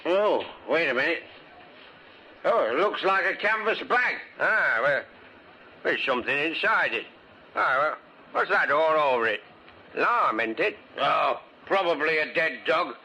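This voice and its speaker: A man's voice: a little high, animated, unhurried, pushed and bright, heavily acted. An actor in an old radio drama playing a hearty working man, colloquial, exclamatory and easily startled. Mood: jovial, curious. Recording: faintly noisy old radio.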